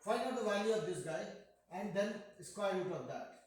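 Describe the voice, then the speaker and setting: Casting: middle-aged Indian-accented male tutor, high voice, joking and quizzing students, very echoey room. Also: expressive, moderate pace, a little mellow. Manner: joking, asks students questions. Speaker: math tutor. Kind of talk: online math class.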